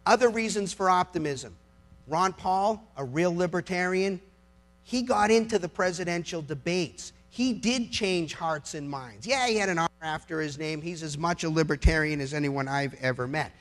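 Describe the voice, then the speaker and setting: A middle-aged American man delivering an impassioned political speech to a live audience, emphatic, with rhetorical questions and dramatic pauses. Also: a little high. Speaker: lawyer giving a public speech.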